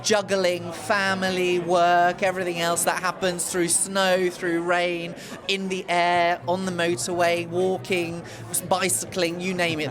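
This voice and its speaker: A man, an awards organiser, speaking effusively and with a lot of enthusiasm as an interview guest. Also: high voice. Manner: effusive, gushing, lively.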